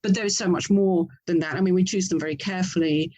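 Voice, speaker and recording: A woman's voice: lowish voice, fairly even intonation, fast, dark tone. Thoughtful woman in conversation. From England. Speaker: interview guest, author and audio drama producer. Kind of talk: conversational interview.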